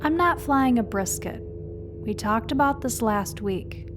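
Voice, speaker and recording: Woman acting a blunt sarcastic lady pilot in a sci-fi cartoon, highish voice, music behind. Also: lively intonation, unhurried. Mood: exasperated. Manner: blunt, sardonic. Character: exasperated, sarcastic female mercenary pilot.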